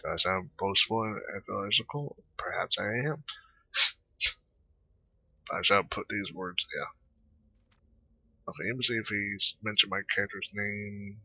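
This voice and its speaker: A man doing casual gameplay commentary in a rambling, halting way, close to the microphone. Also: fairly low pitch, steady tone, creaky, bright, forceful tone, breathy edge. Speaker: gaming video creator. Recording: dull, close dry microphone.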